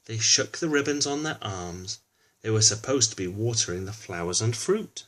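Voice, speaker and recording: A man's voice: on the low side, animated, moderate pace, fairly bright tone. A man reads a children's storybook aloud expressively, adding informal explanatory asides for a young listener. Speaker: children's book reader.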